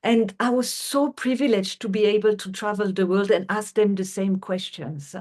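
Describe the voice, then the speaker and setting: A middle-aged woman from France, speaking English with a French accent as an interview guest, earnest and unhurried with frequent fillers. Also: medium pitch, a little mellow. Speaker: guest, healer. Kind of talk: conversational interview.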